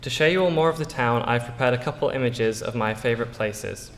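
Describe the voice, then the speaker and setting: A man's voice: medium pitch, brisk, fairly bright tone, slight background noise. Teenage boy, Yorkshire English accent, earnest school speech, reverberant hall. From England. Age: teens. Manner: earnest, reads from notes with small slips and fillers. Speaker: student giving a speech. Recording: echoey hall, long reverb.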